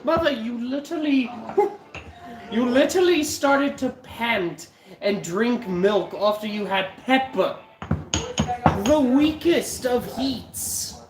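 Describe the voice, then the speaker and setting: A man's voice: very high-pitched, animated, slow pace, reverberant, very noisy. A stuffy-nosed South African man hosting a live cooking stream, chatting casually with viewers and swearing now and then. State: stuffy nose. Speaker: livestream cooking host.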